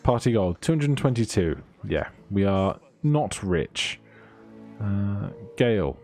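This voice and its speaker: A man's voice: fairly low pitch, big pitch swings, slow, a little mellow, soft background music. A man giving casual let's play commentary, muttering through items with dry humour.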